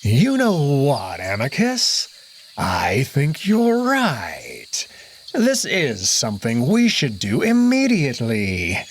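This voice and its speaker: A man voicing a scarred old male wolf, a menacing usurper, who draws out his threats slowly. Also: medium pitch, wildly swooping pitch, slight background noise, pitched above the performer's own voice. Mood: coldly scheming. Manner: slow, drawn-out threats.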